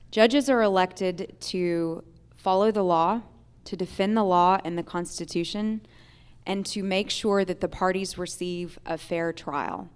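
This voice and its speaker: A woman with a clear, smooth voice gives prepared forum answers as a candidate for judge. She speaks formally and deliberately, leaning on key points with firm emphasis. Her pitch sits in an ordinary range for a woman.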